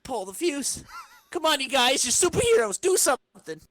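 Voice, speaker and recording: A man's voice: very high voice, very animated, normal speed, a bit bright, no echo, pitched above the performer's own voice, exaggerated voice. A man voicing a desperate, pleading young war veteran in an amateur comic-book reading. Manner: pleading, urgent.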